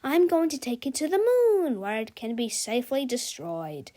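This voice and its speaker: A woman's voice: high voice, huge pitch swings, moderate pace, warm tone. A woman reading a children's storybook as a pompous, earnest, clueless boy superhero. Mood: earnest, grave. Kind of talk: children's storybook read-aloud.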